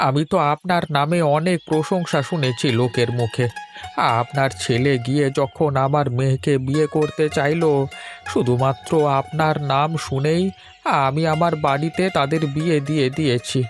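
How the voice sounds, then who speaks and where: A man's voice: fairly high pitch, moderate pace, faint music behind, lightly acted. A man playing a deferential village father of a bride in an audio drama, speaking politely. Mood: regretful. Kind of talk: Bengali audio story drama acting.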